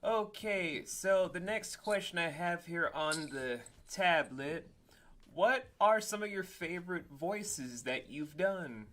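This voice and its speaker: A man's voice: sits high, expressive, takes it slowly, fairly bright tone, a little hiss or hum. A man hosting an interview podcast, talking bluntly and joking, acting out little scenes as he goes. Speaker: podcast host. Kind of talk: casual interview chat.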